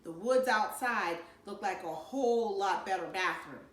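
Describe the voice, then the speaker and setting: A woman reading a children's novel aloud in an expressive storytelling style. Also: medium pitch, a little slow, roomy sound. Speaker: storybook reader.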